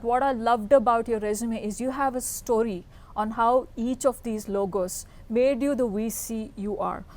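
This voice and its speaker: A woman's voice: fairly high pitch, a little mellow. A woman hosting a podcast, introducing and questioning her guest in an effusive, emphatic manner. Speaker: podcast host and interviewer.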